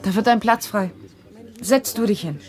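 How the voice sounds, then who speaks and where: An actress playing a determined, idealistic adult daughter in a 1970s radio drama. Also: female voice, mid-range voice, moderate pace, a crowd in the background, natural voice. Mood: matter-of-fact. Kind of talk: 1970s German radio play acting.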